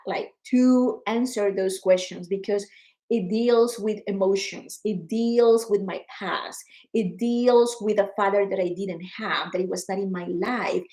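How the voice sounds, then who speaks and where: A woman in her forties talking to camera in a personal vlog, earnest and emotional, often repeating words and growing animated when frustrated. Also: mid-range voice, rough recording. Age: 40s. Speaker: vlogger.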